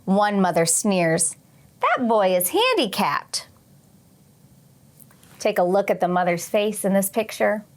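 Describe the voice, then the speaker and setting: A woman teacher reading a picture book aloud to young children expressively, pausing for short teaching asides. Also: mid-range voice, big pitch swings. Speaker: teacher and read-aloud host.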